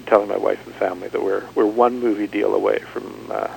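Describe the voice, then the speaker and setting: A middle-aged man from Canada, an author, speaking in a radio interview, thoughtful and halting with many restarts. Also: on the low side, steady tone, vocal fry, slight background noise, poor audio.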